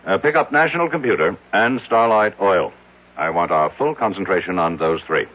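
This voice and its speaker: Imperious, deep-voiced business tycoon. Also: male voice, lively intonation, normal speed, narrow, tinny audio. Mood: commanding, businesslike. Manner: commanding, clipped, authoritative. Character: imperious, powerful male business tycoon, brusque and impatient. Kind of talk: radio drama acting. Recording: thin, band-limited old radio broadcast.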